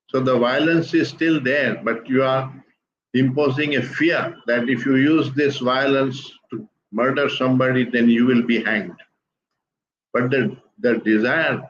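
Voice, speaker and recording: An older man speaks English with an Indian accent, calm and deliberate in long explanatory sentences. The stream sounds a little dull and muffled. A faint hiss sits underneath. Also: medium pitch. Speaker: guest speaker on spiritual teachings. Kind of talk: measured explanatory talk.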